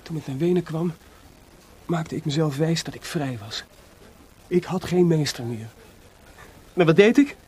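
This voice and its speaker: An actor playing a feverishly excited, sleepless and proud male composer in a radio drama, confiding and rhetorical. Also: fairly high pitch, unhurried, pauses often, husky. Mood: confiding, relieved.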